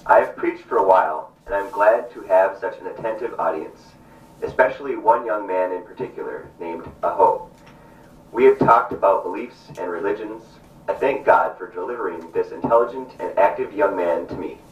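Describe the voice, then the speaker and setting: Muffled roomy recording, male actor as devout missionary doctor, puppet stage play, formal and earnest, low pitch. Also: moderate pace, distant mic, close to an everyday voice. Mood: warm, resolute. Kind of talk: stage puppet show acting.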